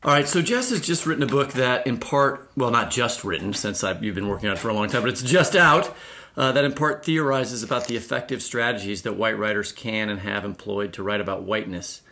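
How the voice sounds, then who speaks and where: A man's voice: mid-range voice, a little fast, bright, forceful tone. A man hosting a discussion podcast, introducing guests and posing questions in a presenter's style. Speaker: podcast co-host.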